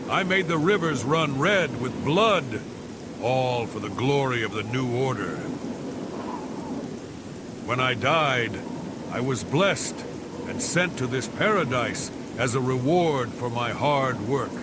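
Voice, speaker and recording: A man's voice: medium pitch, lively intonation, takes it slowly. A man playing a boastful heretic villain in a fantasy game, pompous, theatrical and full of contempt. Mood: grandiose, zealous. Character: boastful, menacing heretic villain, damned priest-slayer. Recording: loud rain and thunder noise behind.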